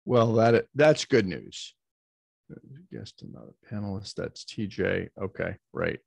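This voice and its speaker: Man, commission chair, gravelly voice, halting and measured, dry video-call sound. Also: fairly low pitch, dry recording. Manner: measured, halting, with many fillers and restarts. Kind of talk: chairing a public meeting.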